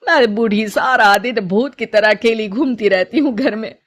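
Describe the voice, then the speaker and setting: A woman's voice: on the high side, normal speed, lightly acted. A woman playing a proud, envious elderly mother-in-law in a cartoon story, stiff and complaining. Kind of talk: acted cartoon story dialogue.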